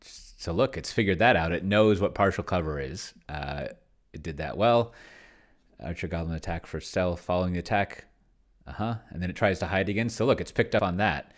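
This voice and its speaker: A man giving casual think-aloud commentary on a game, musing to himself and trailing off. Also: low voice. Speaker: solo tabletop game player and demonstrator.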